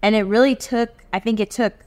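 A woman's voice: on the high side, steady tone, a little fast, darkish tone. A woman in her thirties whose first language was Spanish, speaking earnestly and reflectively as a guest in a casual conversation. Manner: earnest, reflective, many fillers.

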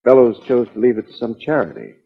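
A man's voice: medium pitch, animated, fast, vocal fry, breathy edge. A man playing a busy, reluctant financial advisor in a 1940s radio drama, curt and matter-of-fact. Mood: guarded, businesslike. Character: busy, reluctant male financial advisor, guarded but businesslike. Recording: tinny, narrow-band 1940s radio recording.

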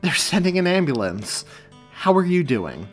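A man's voice: mid-range voice, lively intonation, normal speed, a bit bright, faint music behind. A man acting an anxious, flustered young man. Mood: forced cheer. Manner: hesitant, exasperated, stammering.

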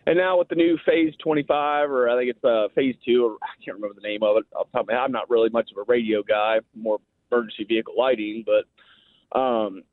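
A man's voice: mid-range voice, steady tone, fast talker, no room sound. A Midwestern American man phoning in to a radio show, explaining his point in colloquial, folksy speech. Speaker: radio phone-in caller. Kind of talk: informal, explaining and arguing a point. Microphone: telephone. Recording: thin, band-limited phone line.

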